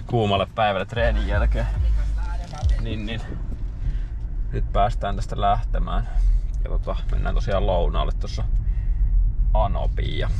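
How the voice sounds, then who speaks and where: A young Finnish man talking casually to the camera in a vlog, relaxed and rambling with many fillers. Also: lowish voice. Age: young adult. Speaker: vlogger and roller skier. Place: in a car, outdoors on a road, indoors at home. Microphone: handheld or dash camera. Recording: dull lo-fi sound with background noise.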